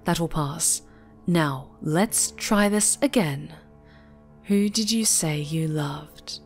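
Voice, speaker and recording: Woman acting a slow, cold fantasy villainess. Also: low-pitched, faint music behind. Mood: calm menace. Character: cold scheming woman sorceress calmly tormenting a captive. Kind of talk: fantasy audio drama acting.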